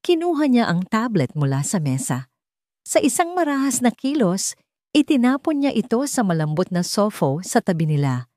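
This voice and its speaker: A woman's voice: medium pitch, very animated, normal speed, dark tone, dry recording, clean studio sound. A woman storyteller reading a scripted romantic drama in a dramatic narrating style.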